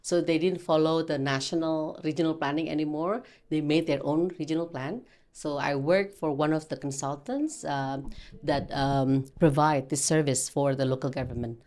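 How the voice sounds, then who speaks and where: A woman's voice: low voice, steady tone, moderate pace, darkish tone. A woman in her forties from Indonesia with an Indonesian accent, speaking English as a second language in a conversational interview in an agreeable way. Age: 40s. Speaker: interview guest, freelance interpreter.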